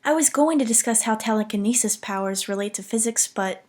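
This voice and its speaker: Young woman, high pitched, scripted jokey game commentary, kinda self-deprecating and dramatic on purpose. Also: brisk, a little mellow. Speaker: video essay narrator.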